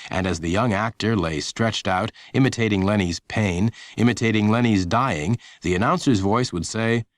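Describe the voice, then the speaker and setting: Deep-voiced man narrating a children's story. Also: normal speed, no echo. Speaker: audiobook narrator.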